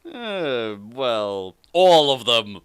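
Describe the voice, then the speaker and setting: A host reads fan fiction aloud for comedy in a slow, theatrical way. The pitch swings widely, and phrases get stumbled over and repeated between bits of casual banter. The sound is close and dry. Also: big pitch swings, smooth, no echo. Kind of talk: comic dramatic reading with casual banter.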